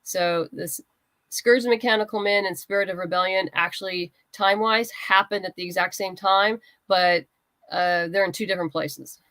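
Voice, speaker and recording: A woman's voice: mid-range voice, normal speed, fairly bright tone, dry recording. Woman writer chatting in an interview, midrange voice, talks through her process, super dry sound. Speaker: guest writer and comics creator. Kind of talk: casual interview talk about creative process.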